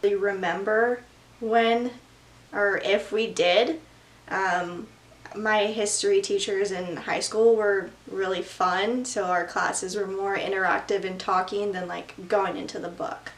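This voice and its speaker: Flat-voiced woman in her twenties. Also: mid-range voice, takes it slowly, echoey room, rough recording. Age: 20s. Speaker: interviewee. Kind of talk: casual interview answers.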